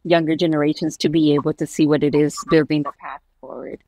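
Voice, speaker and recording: A woman's voice: deep voice, flat delivery, fast talker, dark tone, no echo. A woman hosting a conversational interview podcast, speaking in an affirming, encouraging way. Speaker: podcast host.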